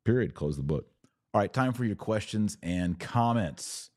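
A man's voice: lowish voice, animated, moderate pace, darkish tone, no room sound, clean studio sound. A man hosting a religious podcast, speaking in emphatic, short declarative phrases as he argues a point of faith to his viewers. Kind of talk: live apologetics talk answering viewer comments.

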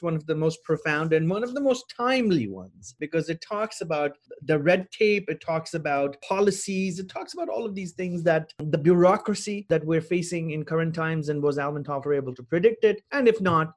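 Upbeat man hosting an interview show. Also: fairly high pitch. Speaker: podcast host.

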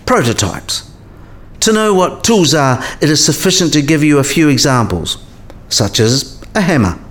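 Man acting didactic professor with animated intonation. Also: fairly high pitch, moderate pace. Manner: didactic, precise. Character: male professor patiently explaining category theory. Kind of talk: explanatory radio play dialogue.

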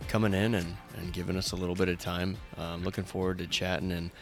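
A man's voice: low-pitched, little pitch movement, fast talker, over music. An American man hosting a podcast in an informal, conversational way. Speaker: podcast host. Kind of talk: conversational podcast intro and interview.

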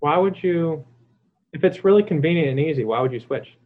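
A man's voice: on the high side, expressive, fairly quick. A man hosting a podcast interview, rambling in casual chat with many fillers and restarts. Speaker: podcast host. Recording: tinny phone-like line.

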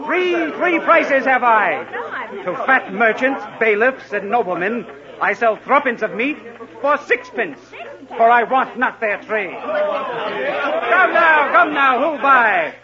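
High-pitched bold young outlaw, jaunty and teasing, declamatory radio adventure style, dull old broadcast sound. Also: male voice, lively intonation, slow pace, crowd noise, exaggerated voice. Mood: jaunty hawking. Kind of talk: mid-century radio adventure acting. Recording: dull 1950s radio recording.

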